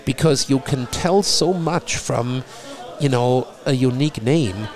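A middle-aged man from Germany with a German accent, talking in a relaxed conversation and often repeating a word as he starts a thought. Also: mid-range voice, measured pace, some chatter behind. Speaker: guest. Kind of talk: casual conversation.

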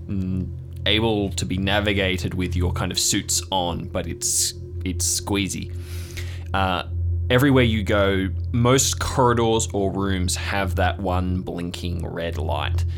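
A man narrating an improvised tabletop adventure as game master, descriptive and pausing often mid-sentence. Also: sits low. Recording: music playing behind the voice. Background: background music.

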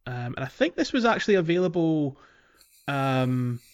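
A man's voice: fairly high pitch, big pitch swings, moderate pace, smooth. A man co-hosting a podcast, chatting casually about a video game in a hesitant, conversational way. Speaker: podcast co-host. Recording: dull lo-fi audio, music behind. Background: music.

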